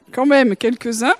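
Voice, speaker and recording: A woman's voice: fairly high pitch, darkish tone, no echo. A woman from France speaking at a church gathering, light and chatty as she asks the audience questions.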